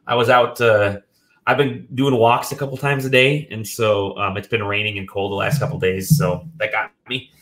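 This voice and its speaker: A man hosting a casual video game podcast from home, sick and a bit nasal, joking and clearing his throat often. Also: fairly low pitch, fairly even intonation, moderate pace, a bit bright. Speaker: podcast host. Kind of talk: casual chat. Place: home room.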